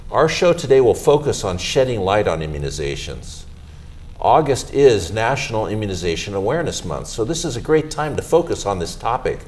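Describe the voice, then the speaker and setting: A middle-aged man, a physician, hosting a health show, presenting in a measured, formal way and interviewing a guest. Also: on the low side, darkish tone.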